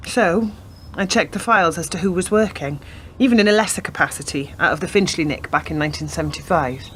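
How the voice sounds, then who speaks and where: A woman in a radio play acting a persistent, earnest police detective who lays out facts deliberately. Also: fairly low pitch, slight background noise, lightly acted. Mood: careful, probing.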